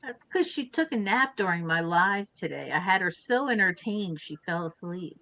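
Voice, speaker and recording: A woman's voice: lowish voice, animated, moderate pace, pushed and bright, no echo. A woman guest on a live video chat, joking at her own expense and apologizing for mix-ups. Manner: apologetic, self-deprecating. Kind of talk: casual chat.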